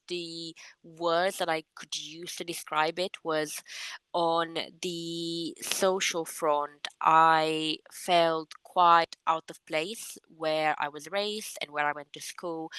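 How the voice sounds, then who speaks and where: A young woman from Romania, a native Romanian speaker, giving thoughtful, measured interview answers with frequent pauses and restarts. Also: deep voice, sharp tone, clear, resonant tone, no echo, low-quality recording. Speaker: guest.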